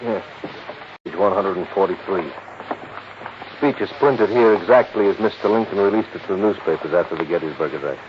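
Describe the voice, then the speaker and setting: An American actor playing a fussy, worried, middle-aged insurance manager in a 1950s radio drama, explaining things emphatically. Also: male voice, low-pitched, a little fast, close to an everyday voice. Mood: insistent, explaining. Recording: muffled, hissy 1950s radio recording.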